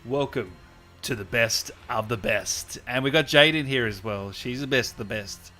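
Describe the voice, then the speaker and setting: A man hosting a casual livestream, reading out viewer messages and thanking people with effusive, drawn-out, hyped shout-outs. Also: medium pitch. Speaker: livestream host and channel owner. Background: music playing behind the voice.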